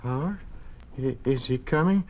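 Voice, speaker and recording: A man playing a weak, dying older farmer in a 1950s radio drama, halting, confused and pleading. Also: medium pitch, a little mellow, natural voice. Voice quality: weak, faltering.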